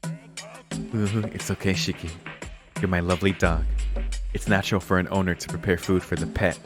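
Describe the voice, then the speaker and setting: A man's voice: low voice, fairly even intonation, normal speed, lightly acted. A man voicing a possessive, doting maid girl who coaxes and commands her pet, smug, in a visual novel reading. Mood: smug, coaxing. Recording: music behind the voice.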